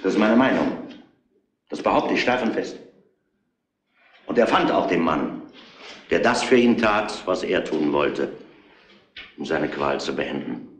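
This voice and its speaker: An actor playing a gruff, domineering police inspector who deliberately lays out a case in a retro crime radio play. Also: male voice, sits low, animated, roomy sound. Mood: grave, deliberate.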